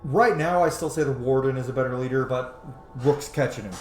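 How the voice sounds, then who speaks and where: A man's voice: mid-range voice, fairly quick, darkish tone. Man giving roomy-sounding casual game commentary. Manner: profane, informal. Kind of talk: casual, opinionated gameplay commentary. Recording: roomy echo, faint game music behind. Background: faint game music.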